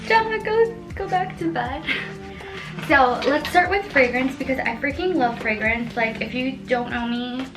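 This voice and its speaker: A bubbly woman in her twenties chats her way through a beauty haul, gushing and giggling as she goes. Her voice sits a little high and her delivery is slow and unhurried. The room is echoey, with clear music playing behind her. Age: 20s. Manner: gushing, excitable, with frequent giggles. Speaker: beauty vlogger. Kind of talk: casual chatty haul vlog.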